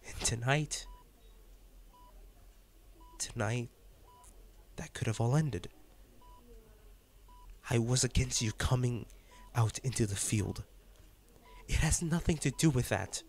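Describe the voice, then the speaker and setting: A man's voice: mid-range voice, takes it slowly, long pauses. A man playing an intense, protective male field agent in a dramatic audio roleplay, speaking emotionally and emphatically to his partner. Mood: pained, confessing. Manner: emotional, emphatic, addressing the listener directly.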